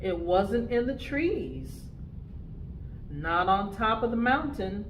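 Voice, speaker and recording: Lady doing preschool storytime, slow and really animated, little bit of noise behind her. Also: medium pitch, reverberant, low-quality recording. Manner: expressive, deliberate phrasing for children. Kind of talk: animated picture-book reading.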